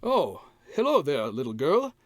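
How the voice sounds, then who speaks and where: A man's voice: a little high, wildly swooping pitch, a little slow. A man playing a folksy, exasperated, wisecracking husband in an old-time radio comedy. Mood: flustered.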